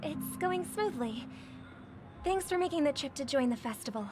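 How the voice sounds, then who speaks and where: A woman playing a gentle, thoughtful famous singer in a video game, speaking politely and with measured care. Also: high-pitched, lively intonation, over music. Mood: gracious. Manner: measured, polite, soft-spoken. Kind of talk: scripted game voice acting.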